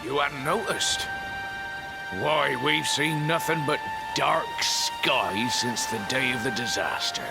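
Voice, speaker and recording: A man playing a hearty, kindly old fisherman in a video game cutscene, with gravelly, folksy seafarer talk. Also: medium pitch, slow pace. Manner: folksy seafarer talk, refers to himself by name. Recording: game music under the voice.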